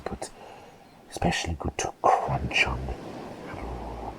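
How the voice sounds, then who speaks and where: An eccentric, doting plague doctor, acted by a man in an ASMR roleplay. He whispers very slowly with a creaky edge, gentle and soothing, fondly repeating his quaint phrases. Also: a bass voice, full character voice, low-quality recording.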